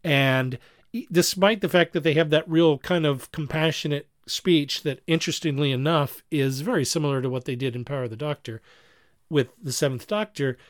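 A man talking casually and critically as a podcast panelist, talkative, with halting, repeated phrases. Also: on the high side, no room sound, clean studio sound. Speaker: podcast panelist reviewing fan productions.

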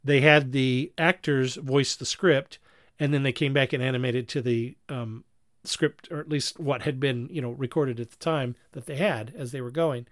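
A man's voice: medium pitch, steady tone, dry recording. Guy hosting a podcast, chatty n rambly, keeps correcting himself, close dry sound. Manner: halting, rambling, self-correcting explanations. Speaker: podcast host. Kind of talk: casual podcast discussion.

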